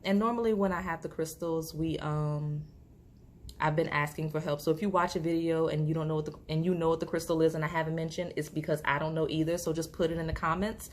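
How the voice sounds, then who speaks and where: A woman, slightly hoarse, chatting casually through a tarot reading to camera, with lots of fillers and restarts. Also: low voice. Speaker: tarot reader.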